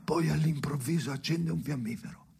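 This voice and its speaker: A man playing a haunted, brooding storyteller in an experimental radio drama, speaking in halting, repeated fragments with a creaky voice that often drops to whispers. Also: on the high side, noisy background. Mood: bleak, tense. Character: haunted brooding man narrating memories alone by the sea.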